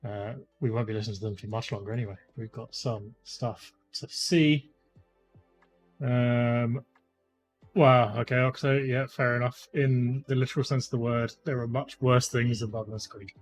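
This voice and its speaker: A man in his thirties chatting casually as a stream host, rambling with lots of fillers, his voice slightly croaky. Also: mid-range voice, steady tone, normal speed. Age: 30s. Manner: rambling, chatty, many fillers, greets viewers by name. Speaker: livestream host. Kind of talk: casual live-stream chat. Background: faint lo-fi music.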